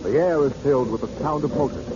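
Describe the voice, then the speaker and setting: A man's voice: medium pitch, fast talker. A man narrating a vintage radio drama in a theatrical, emphatic 1930s style. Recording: muffled old radio recording, clear noise.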